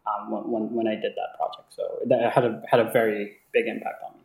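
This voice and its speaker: A man's voice: lowish voice, little pitch movement, quick pace, gravelly, a little mellow. A young man in his twenties from Bangladesh, a native Bengali speaker, telling stories casually as an interview guest, often hesitating and repeating words. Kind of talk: casual conversational storytelling. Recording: echoey, roomy sound.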